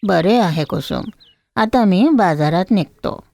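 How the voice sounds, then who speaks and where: A woman voicing a humble, devout village bangle seller man in a storybook, slow and earnest. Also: on the low side, lively intonation, creaky, a little mellow, no room sound, soft background music. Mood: calm. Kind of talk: storybook character voice.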